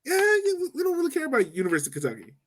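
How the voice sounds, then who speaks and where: A man's voice: extremely high pitch, wildly swooping pitch, very fast, a little hiss or hum. A man hosting a casual sports podcast in a joking, informal, slangy way. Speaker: podcast host.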